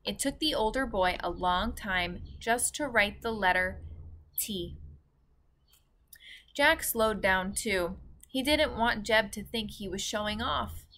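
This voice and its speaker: A woman's voice: medium pitch, slow pace, fairly bright tone. A woman reading a children's chapter book aloud as a teacher would. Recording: faint background noise.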